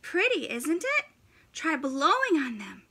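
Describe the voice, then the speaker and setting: A woman in her thirties doing an animated, exclaiming, encouraging read-aloud of a children's picture book. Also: sits high, a little slow. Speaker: teacher reading story time for children. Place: indoors, in front of a fabric backdrop.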